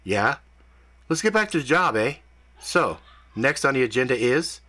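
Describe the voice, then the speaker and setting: A man's voice: medium pitch, expressive, moderate pace, pushed and bright, pitched above the performer's own voice. A man voicing a cocky, joking male demon hunter who uses British slang, speaking in a breezy manner. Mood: flustered.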